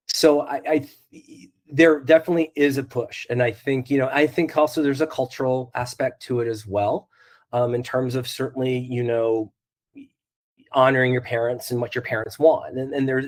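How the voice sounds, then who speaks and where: A slightly hoarse man talks things through as a school counselor on a panel. His pitch sits in an ordinary middle range. He is earnest, repeats words as he restarts his sentences, and laughs softly now and then. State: hoarse from a cold. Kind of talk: panel discussion.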